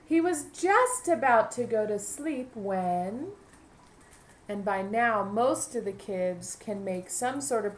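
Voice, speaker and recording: Woman, teacher, medium pitch, children's read-aloud, explanatory asides, clear background noise. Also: rough recording. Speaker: kindergarten teacher.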